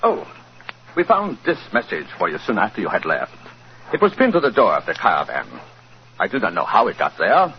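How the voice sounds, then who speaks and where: A man's voice: on the low side, wildly swooping pitch, fairly quick. An actor playing a solemn, courteous Romany man with formal, stilted phrasing, in 1940s radio drama style. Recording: muffled, noisy 1940s radio recording.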